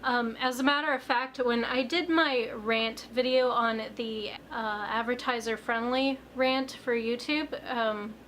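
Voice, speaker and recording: A woman in her twenties, a little hoarse after being ill, chatting casually to camera in a rambling way with lots of fillers. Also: a bit bright, a little hiss or hum. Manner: rambling, filler-heavy, jumps up in pitch on emphasis. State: slightly hoarse after illness. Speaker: vlogger. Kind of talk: casual confessional chat to camera.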